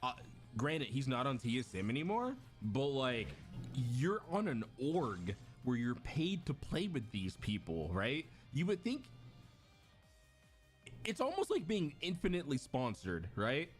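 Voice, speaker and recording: A man rambles through his thoughts while reacting to clips on a stream, his voice rising and falling in a lively way. He circles back with lots of fillers and starts sentences over, and faint music sits behind him. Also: mid-range voice, a little slow. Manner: rambling, lots of "like" and restarts, laughs easily. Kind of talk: casual react-stream chat.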